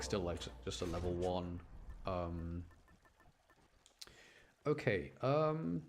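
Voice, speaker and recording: Low-voiced man casually streaming a game. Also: a little hiss or hum. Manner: chatty, thinking aloud, apologetic. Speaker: game streamer talking to chat. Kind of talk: casual live gameplay commentary with explanations.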